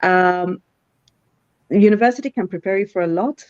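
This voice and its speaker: A woman's voice: medium pitch, normal speed, clean tone, dry recording, muffled recording. A middle-aged woman, a CEO guest in a live online interview who talks thoughtfully with many fillers and restarts. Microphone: video call.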